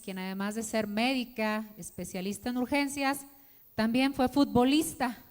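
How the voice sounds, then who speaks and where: A woman moderating a panel, presenting formally to introduce speakers. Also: fairly high pitch. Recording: reverberant hall sound.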